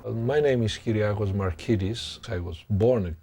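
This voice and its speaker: A man, a professor thoughtfully recounting his life in an interview, pausing to find words. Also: on the low side, dark tone, a little hiss or hum. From Greek background. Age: 60s. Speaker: sociology professor. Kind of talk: interview, reflective personal account.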